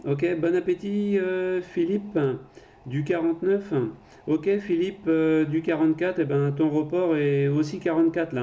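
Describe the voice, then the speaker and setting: A man's voice: a little high, mellow. A man chatting casually as an amateur radio operator, handing over the microphone in turns. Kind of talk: casual radio contact chat.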